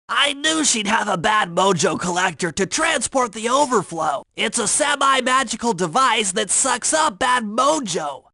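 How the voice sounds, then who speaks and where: A man's voice: very high voice, vocal fry, pushed and bright, no room sound, heavily acted. A man voicing a nerdy, anxious young male turtle inventor and hacker in a video game, speaking in precise, wordy technical phrases.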